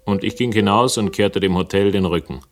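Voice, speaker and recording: A man's voice: on the low side, a little fast, soft background music, close to an everyday voice. An actor playing a wry, urbane investigator who narrates his case with literate irony in a German radio crime drama. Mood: dry, wry. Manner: ironic, literate, ornate wording.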